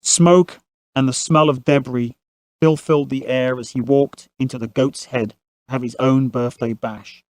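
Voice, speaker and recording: A man's voice: medium pitch, fairly even intonation, measured pace, a little mellow, no room sound. Dry, close-recorded male storyteller. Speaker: narrator. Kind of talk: scripted storytelling narration.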